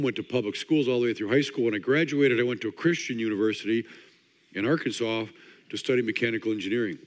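An older man with a hoarse, partly worn-out voice gives a quick-fire sermon. His voice sits low, and his humor is bone dry. The sound carries a long reverb from a large hall. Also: darkish tone. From Wisconsin. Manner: dry joking delivery. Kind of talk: fast-paced sermon talk.

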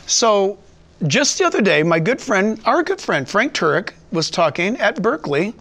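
A man's voice: high voice, sing-song, normal speed, a bit bright. A man hosting a talk show, asking pointed rhetorical questions and often repeating words as he thinks. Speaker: host. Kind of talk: conversational talk show interview.

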